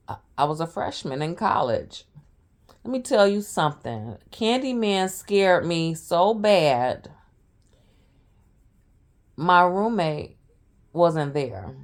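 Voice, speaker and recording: Slow-talking hoarse woman in her forties. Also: deep voice. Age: 40s. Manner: rambling, restarts and side notes, informal. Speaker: vlogger. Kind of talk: casual storytelling monologue.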